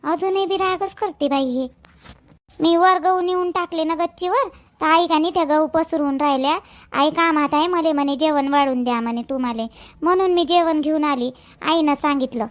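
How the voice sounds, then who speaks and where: A woman's voice: high-pitched, normal speed, close to an everyday voice. A woman voicing a meek, dutiful young daughter-in-law in an amateur village cartoon, deferential and plaintive, with a Vidarbha Marathi accent. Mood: hurt, meek.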